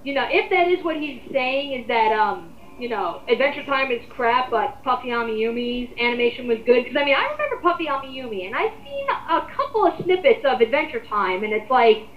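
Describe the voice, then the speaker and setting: A woman with a high-pitched voice talks in a roomy, muffled home recording. She co-hosts a commentary, rambling informally through her opinions. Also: sing-song, brisk, few pauses, soft background music. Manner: rambling, informal, occasional swearing. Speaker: co-host of an online commentary. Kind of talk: casual opinionated chat.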